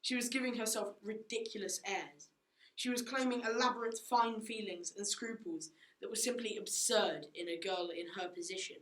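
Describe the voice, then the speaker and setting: A woman's voice: medium pitch, moderate pace, fairly bright tone, lightly acted. A teenage girl playing a haughty, self-righteous upper-class mother in a school radio play, with a dismissive, indignant manner. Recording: echoey room.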